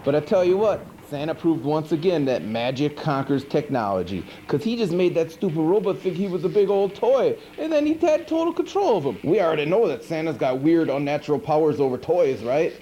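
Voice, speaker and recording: A man's voice: a little high, dark tone, lightly acted. A man playing a goofy, chatty, sardonic monster who hosts a horror movie show, recapping films in casual, rambling slang on a noisy 1980s VHS recording. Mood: excited. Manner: casual, rambling, mock-indignant slangy delivery. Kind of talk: comic movie recap monologue.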